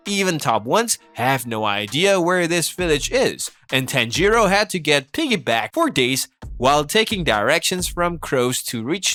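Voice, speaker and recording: A man's voice: a little high, pushed and bright. A man narrating a scripted video essay, asking rhetorical questions with playful, incredulous emphasis. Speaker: narrator of an anime commentary video. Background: background music.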